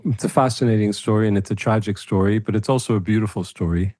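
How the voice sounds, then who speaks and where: A man's voice: lowish voice, fast talker, a little mellow, no room sound. A man hosting an interview, encouraging his guest and thinking aloud as he builds his questions. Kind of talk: podcast interview.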